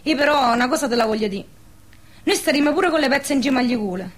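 A very high-voiced village spinster in radio theatre, with a broad southern Lazio dialect. She talks in an emphatic, colloquial way, devout, gossipy and quick to defend her pride. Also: lively intonation. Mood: proud, touchy.

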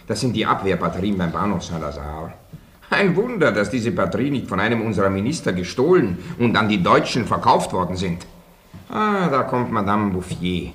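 A man's voice: on the low side, big pitch swings, normal speed, a bit bright. An actor playing a gloomy, sardonic male lodger with a dry wit in a German radio drama with roomy sound.

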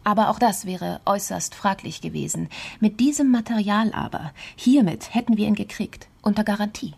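A woman's voice: medium pitch, normal speed, creaky, a little mellow, slightly rough. An actress in a crime radio play voicing a composed, determined investigator, matter-of-fact and clipped as she reports a case. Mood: firm.

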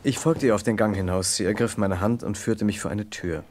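Actor as low-voiced, earnest gentleman sleuth. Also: a little fast, a little hiss or hum, natural voice. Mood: grave narration. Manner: formal, earnest, polite. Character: earnest, gallant gentleman narrator, loyal amateur sleuth. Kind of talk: German radio crime drama acting and narration.

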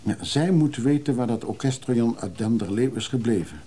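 A modest middle-aged antiques hunter in a radio play. His voice is husky and dark at a medium pitch. He sounds wistful and low-key, thinking aloud with small hesitations. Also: lively intonation, moderate pace. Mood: practical, doubtful. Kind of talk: naturalistic radio drama dialogue.

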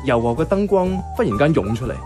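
An actor playing a mild, wry young man narrating his life as a reluctant flatmate in a Cantonese radio drama, with a Hong Kong accent and a casual, understated delivery. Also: mid-range voice, quick pace, close to an everyday voice. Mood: wry, reflective. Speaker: narrator and lead character. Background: background music.

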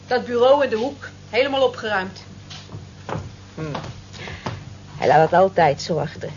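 A woman's voice: medium pitch, lively intonation, moderate pace, a little mellow, lightly acted. An actress in an old radio play voicing a smitten, conscientious cleaning woman who talks earnestly and admiringly. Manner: earnest, admiring, defensive when teased. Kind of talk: vintage radio play acting. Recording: dull, hissy old radio play recording.

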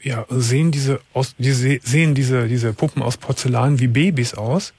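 A man hosting a late-night call-in radio show, calm and patient, asking careful follow-up questions. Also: medium pitch, moderate pace, slightly rough, no echo. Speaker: radio talk show host. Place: radio studio. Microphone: studio mic.

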